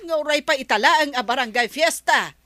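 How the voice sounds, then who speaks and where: A woman's voice: sits high, lively intonation, fairly quick, sharp tone, dry recording. A woman playing a stubborn village councilor in a comedy radio drama, arguing her point insistently. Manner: argumentative, insistent.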